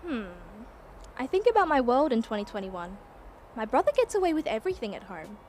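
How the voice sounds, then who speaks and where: An actress playing a chatty, earnest young time traveller with an Australian accent, narrating a radio play in a breezy, confiding way. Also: female voice, fairly high pitch, big pitch swings, fairly quick, a little mellow, a little hiss or hum. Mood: pensive.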